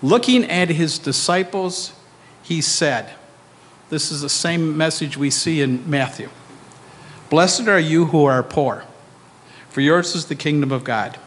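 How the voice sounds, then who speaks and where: A man in his sixties reads scripture aloud to a church. His voice sits a little high, and the stand microphone carries the long reverb of the hall. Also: measured pace, pauses often, a bit bright. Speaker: scripture reader at a church service. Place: church sanctuary, indoors.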